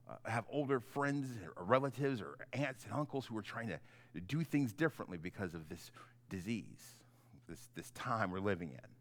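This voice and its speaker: A middle-aged man, a pastor, giving an informal, halting children's message in simple, conversational words. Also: mid-range voice. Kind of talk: gentle children's sermon, informal storytelling.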